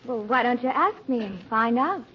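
An actress playing an eager, bossy but affectionate young American big sister in a 1940s radio comedy. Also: female voice, fairly high pitch, expressive, normal speed, lightly acted. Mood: eager, admiring. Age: young adult. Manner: earnest, managing. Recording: muffled, noisy old radio recording.

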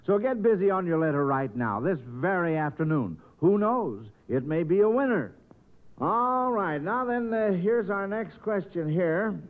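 A man hosts a vintage radio quiz for youngsters. His voice sits fairly high, with a folksy, encouraging delivery. The sound is thin and narrow, like an old broadcast through a telephone. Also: very animated, unhurried. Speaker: quiz show host. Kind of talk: 1940s radio quiz show hosting, addressing children.

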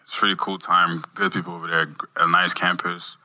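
Very deep, flat-voiced young man. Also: a little hiss or hum. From Montreal, Canada. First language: French. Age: young adult. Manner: soft-spoken, halting, repeats words while searching for them. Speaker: guest athlete. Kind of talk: casual interview answers.

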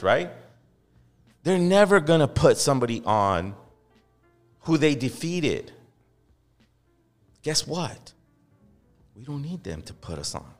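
Heated podcast host, man, swearing freely, long room reverb, faint music underneath. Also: mid-range voice. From Los Angeles. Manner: impassioned, profane, mocks others in quoted voices. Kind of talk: heated casual podcast talk. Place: podcast studio.

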